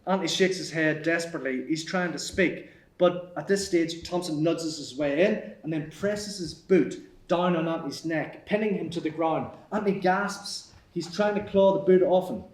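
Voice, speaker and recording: A man's voice: a little high, moderate pace. A man reading out screenplay stage directions as the narrator at a table read. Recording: echoey room.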